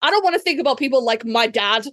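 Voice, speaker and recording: A woman's voice: a little high, expressive, fast, a bit bright, dry recording, studio quality. A woman co-hosting a podcast, talking casually and enthusiastically, with light swearing. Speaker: podcast co-host.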